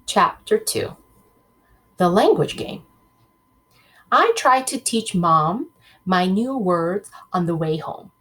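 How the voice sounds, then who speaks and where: Woman, primary teacher read-aloud. Lively swooping pitch, slightly husky, unhurried, speaks straight to the children. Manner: expressive, addresses children directly with questions. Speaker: teacher reading aloud to a class.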